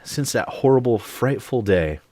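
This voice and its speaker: A man in his late thirties, an animated storyteller who swears freely, telling a personal story straight to the mic. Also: mid-range voice, unhurried, no room sound. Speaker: channel host. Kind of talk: storytime monologue.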